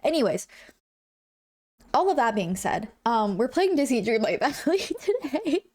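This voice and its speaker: A woman's voice: high-pitched, big pitch swings, unhurried, a little mellow. A young woman streaming from home, chatting with her viewers. She sounds a bit congested and fills her talk with "like" and "um". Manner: bubbly, chatty, lots of "like" and "um". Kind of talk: casual chat with live chat.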